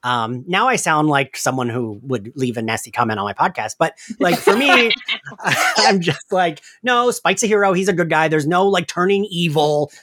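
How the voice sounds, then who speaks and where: A man's voice: fairly high pitch, lively intonation, normal speed, a bit bright, no room sound. Podcast guy talking casually, pretty emphatic, really dry close sound. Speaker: podcast host. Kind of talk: casual discussion.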